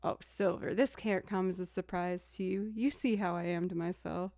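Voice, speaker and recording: Chatty young woman with a low alto. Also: steady tone, normal speed, mellow, dry recording, clean studio sound. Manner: chatty, self-deprecating, thanks viewers. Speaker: streamer and musician. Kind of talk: casual live-stream chat.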